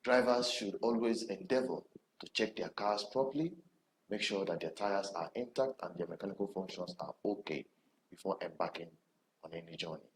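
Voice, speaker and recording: A low-voiced Nigerian man presents commentary as a programme host. He speaks deliberately and emphatically, leaving dramatic pauses. The recording has a strong roomy echo and audible noise.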